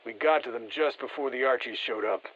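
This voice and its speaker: An actor playing a seasoned, wry special forces operative in a video game, speaking matter-of-factly through a radio filter. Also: male voice, mid-range voice, brisk, lo-fi sound. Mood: wry, reflective. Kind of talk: scripted game voice lines, field commentary.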